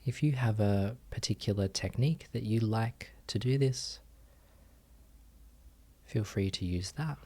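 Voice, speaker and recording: A man guiding a meditation as a teacher, giving gentle instructions with long pauses. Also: lowish voice. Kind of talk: guided meditation.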